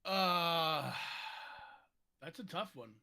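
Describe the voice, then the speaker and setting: A man in casual conversation, reasoning aloud with halting phrases. His voice is on the high side for a man and very sharp and bright. He takes his words very slowly. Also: vocal fry, clear, resonant tone. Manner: thoughtful, halting. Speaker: cast member on a panel. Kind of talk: casual chat.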